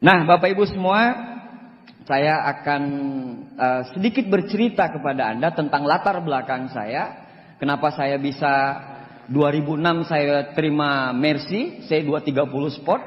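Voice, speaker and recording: High-voiced man joking from a stage. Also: normal speed. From Central Sulawesi, Indonesia. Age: 40s. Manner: joking, boastful, emphatic, with rising pushes. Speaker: motivational speaker. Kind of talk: seminar talk to an audience. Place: large hall with audience. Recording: echoey hall, dull lo-fi sound.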